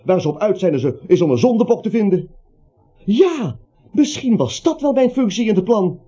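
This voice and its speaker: Radio play role: bumbling, anxious everyman, husky and higher-pitched, stammering and self-justifying, muffled old recording. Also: sing-song, normal speed, dark tone, exaggerated voice. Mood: apologetic, grumbling. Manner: hesitant, rambling, stammering. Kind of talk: comic radio play acting.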